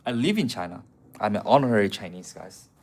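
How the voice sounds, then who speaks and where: A man's voice: fairly low pitch, lively intonation, a little fast, slight background noise. A man on a casual live stream, answering viewer comments in a blunt, profane, argumentative way. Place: city streets outdoors.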